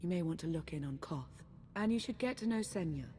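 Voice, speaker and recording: Calm, earnest female ally for a game cutscene woman acting, low register, guarded, faint noise behind. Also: big-room sound, natural voice. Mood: calm, reflective. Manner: measured, earnest.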